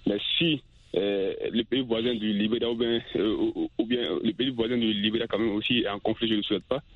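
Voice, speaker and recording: Low-voiced man on a tinny phone line. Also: fairly even intonation, no echo. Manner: halting, repetitive phrasing. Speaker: radio phone-in caller. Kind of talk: spontaneous opinion-giving.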